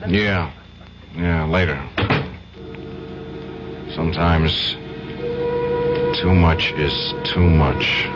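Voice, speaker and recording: An actor playing an earnest, guilt-ridden defense lawyer in a radio drama, serious and pleading. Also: male voice, a bass voice, fairly even intonation, very slow, long pauses, over loud music, heavily acted. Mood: weary. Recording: dull, slightly noisy old radio broadcast.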